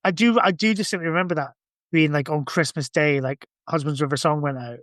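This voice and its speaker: A man's voice: fairly high pitch, a little fast, a bit bright, dry recording, clean studio sound. A man chatting casually as a podcast guest, informal and a bit sweary. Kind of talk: casual chat.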